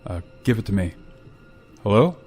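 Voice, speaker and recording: Weary space pilot, male actor, radio drama. Fairly low, measured and somber, light music bed. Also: very animated. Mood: awkward. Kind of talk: radio drama acting and first-person narration.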